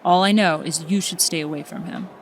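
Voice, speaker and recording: A woman playing a protective, frank teenage high-school girl in a comic fan dub. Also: steady tone, a little fast, a little hiss or hum, natural voice. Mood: ominous, earnest.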